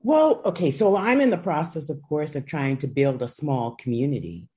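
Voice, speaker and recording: A woman's voice: low-pitched, lively intonation, normal speed, dark tone. A woman in her sixties hosting a podcast, chatty and enthusiastic. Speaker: podcast host. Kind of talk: casual podcast interview.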